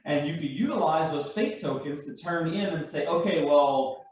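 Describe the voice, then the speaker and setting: A man's voice: a little high, moderate pace. Man in a very echoey, muffled room. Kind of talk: casual unscripted chat, explaining game rules. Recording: echoey room, distant mic, muffled sound.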